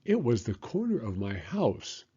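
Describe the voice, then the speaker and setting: Man as a kindly cheerful frog, lilting earnest storyteller, very animated pitch, husky edge, children's storybook. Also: unhurried, highs cut off, heavily acted. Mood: earnest reminiscing. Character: cheerful, kindly male frog reminiscing to his friend.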